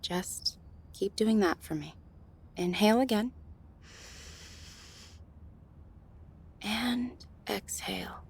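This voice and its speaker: A woman's voice: medium pitch, unhurried, slightly rough. A woman playing a friendly, flirtatious gallery worker in an intimate audio roleplay, soothing and teasing as she talks straight to the listener. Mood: soothing, reassuring.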